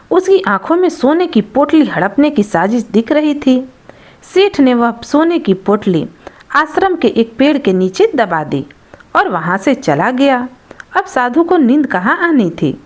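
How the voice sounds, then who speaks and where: Woman, storyteller, high pitch, animated intonation, scripted folktale narration.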